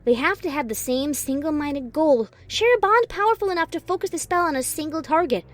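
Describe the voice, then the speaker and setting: A woman's voice: high voice, animated, fairly quick, faint music behind. A woman voicing a stern, proud young unicorn mare sorceress in a fan audio drama, clipped and commanding. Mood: worried.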